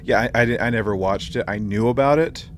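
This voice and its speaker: Laid back dude on a podcast, lowish voice, casual chat, noisy recording. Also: male voice, quick pace. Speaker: podcast co-host. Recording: clear background noise throughout.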